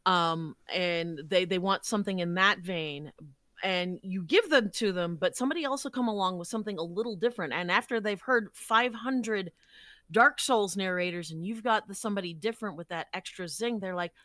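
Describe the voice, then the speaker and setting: A woman's voice: medium pitch, moderate pace, fairly bright tone, no room sound. A woman speaking as an interview guest, explaining her craft conversationally and thinking aloud as she goes. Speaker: voice actor, podcast guest.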